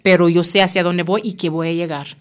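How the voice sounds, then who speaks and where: Jamaican woman explaining with steady encouragement. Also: on the low side, a little fast, clear, resonant tone. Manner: encouraging, explanatory, frequent tag questions. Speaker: language school representative. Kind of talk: conversational interview.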